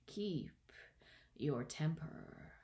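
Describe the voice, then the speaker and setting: A woman voicing a languid, sleepy caterpillar in a children's storybook, curt and contemptuous, with breathy, drawn-out speech. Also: sits low, animated, mellow, exaggerated voice. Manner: drawn-out, drowsy delivery with terse replies. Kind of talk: children's storybook read-aloud.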